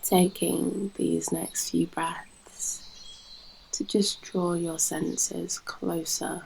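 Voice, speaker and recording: A British woman guides a meditation in a low, dark voice with a very creaky edge. Her delivery is soft and soothing, leaving long pauses between short phrases. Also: little pitch movement, in a whisper, poor audio. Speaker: yoga nidra guide and host.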